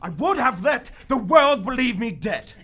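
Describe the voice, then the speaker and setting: Blustering, indignant English gentleman. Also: mid-range voice, sing-song, moderate pace, slightly rough. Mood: indignant, exasperated. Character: indignant, pompous young English gentleman, exasperated royal agent. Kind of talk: live radio theater acting.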